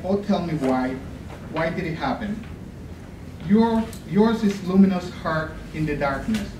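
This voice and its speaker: A man's voice: high voice, animated, unhurried, a little mellow, a little hiss or hum. A man giving an impassioned, pleading dramatic poetry reading at a contest. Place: college event room. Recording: echoey room, distant mic.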